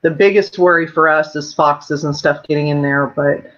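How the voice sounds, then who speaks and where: A woman's voice: low-pitched, moderate pace. A middle-aged woman from Oklahoma chatting casually on a livestream. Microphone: internet video call. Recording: dull lo-fi livestream audio.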